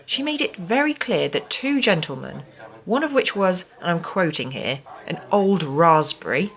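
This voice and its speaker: An actress playing a prim, stern care home manager in a radio play, formal and reproving. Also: female voice, lowish voice, big pitch swings, moderate pace, a bit bright, low-quality recording.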